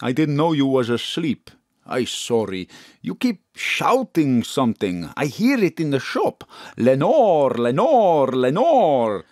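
Lively foreign chip shop owner. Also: male voice, medium pitch, slow, no echo, clean studio sound. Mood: friendly, apologetic. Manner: broken English, eager and apologetic.

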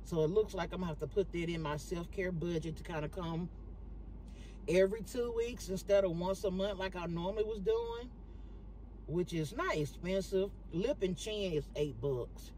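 A woman chatting casually to her viewers in a vlog, animated and talking straight to the camera from a parked car on her phone. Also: on the low side, normal speed, slight background noise, poor audio. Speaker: vlogger.

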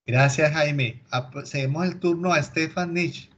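A man's voice: on the high side, measured pace, fairly bright tone. A man moderating an online talk, politely passing turns and asking for brief questions. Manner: courteous, directive. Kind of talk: moderating a webinar. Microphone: video call. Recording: dull lo-fi call audio.

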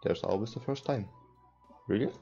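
A man's voice: on the low side, normal speed, gravelly, mellow. A young man who is a non-native English speaker, reading game text aloud and commenting casually as a let's player. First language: not English. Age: young adult. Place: small room indoors at a computer. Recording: muffled, with game music. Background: visual novel background music.

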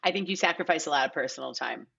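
A middle-aged woman chatting casually as an interview guest, animated and self-deprecating. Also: low-pitched, very fast, creaky, slightly rough, dull audio. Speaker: casting director, podcast guest. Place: home living room, video call.

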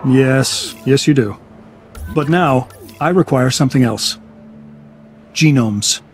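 An actor playing a wealthy, smug biotech scientist in a video game, grandiose and self-assured, enthralled by dinosaurs. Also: male voice, medium pitch, takes it slowly, soft background music, natural voice. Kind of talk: video game cutscene acting.

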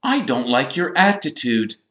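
A pompous teenage prefect boy as a man acts him out, raised in pitch and stiffly stern. He speaks as an affronted storybook authority figure, with room echo around him. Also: very animated, measured pace, fairly bright tone.

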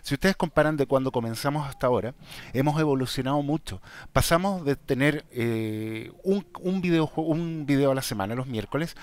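A man with a Chilean accent hosting a casual vlog, talking to viewers in a direct, emphatic and somewhat halting way. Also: mid-range voice. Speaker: YouTube channel host. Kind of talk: casual vlog talk, answering viewer questions.

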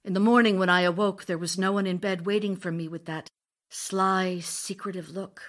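An actress playing a grieving, longing widow in an audio drama, reading intimate letters in a tender, wistful, expressive way. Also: female voice, mid-range voice, close to an everyday voice. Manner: tender, wistful, emotionally expressive, pausing delivery.

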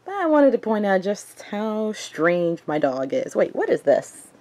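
A young adult woman chatting casually to a handheld camera at arm's length in a small room at home, in a conversational, animated manner. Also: medium pitch, measured pace. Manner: animated, conversational, acts out quotes. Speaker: vlogger.

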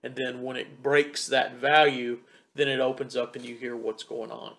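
A middle-aged man giving a casual step-by-step gear tutorial in a halting, think-aloud way, recorded at a home desk on a desktop mic about two feet away. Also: medium pitch, level tone, gravelly, a bit bright. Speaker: tech tutorial presenter. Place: home computer desk in a wood-panelled room. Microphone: desktop condenser mic about two feet away.

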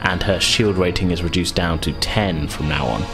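A man's voice: low voice, moderate pace, over music. A man running a tabletop roleplaying game, narrating combat and calling out dice results. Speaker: game master.

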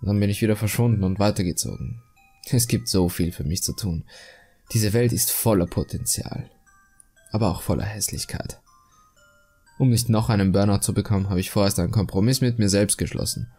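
A man voicing a self-satisfied, calmly cruel murderer obsessed with beauty, in a measured, confiding horror monologue. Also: lowish voice, breathy edge, faint music behind. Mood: calm menace. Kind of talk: German creepypasta horror monologue, dramatic reading.

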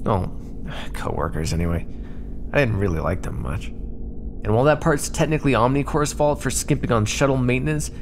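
A man playing a prickly, sarcastic starship crewman in an ASMR roleplay, talking with quick-tempered exasperation. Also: mid-range voice, slight background noise.

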